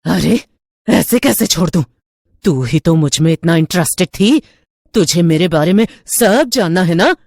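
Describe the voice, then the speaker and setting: A woman voicing a menacing witch who poses as a new neighbour, taunting slowly in a cartoon horror story. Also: fairly low pitch, expressive, vocal fry, a little mellow, no echo, clean studio sound, full character voice. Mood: mocking menace. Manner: taunting, slow and deliberate threats.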